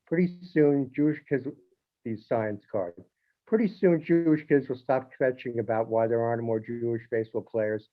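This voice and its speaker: A man who writes a newspaper column, speaking informally to the audience with restarts, self-corrections and wry jokes. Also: medium pitch. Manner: conversational, with restarts and wry asides. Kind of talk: informal monologue. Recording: phone-like narrow band.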